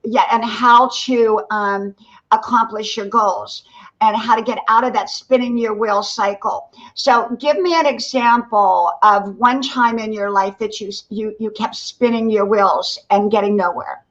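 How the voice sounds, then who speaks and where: A woman's voice: a little high, fairly even intonation, measured pace, a bit bright, highs cut off. A woman in her sixties hosting a motivational live stream, talking in a loose, rambling way with lots of "you know". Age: 60s. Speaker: livestream host. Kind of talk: casual motivational chat.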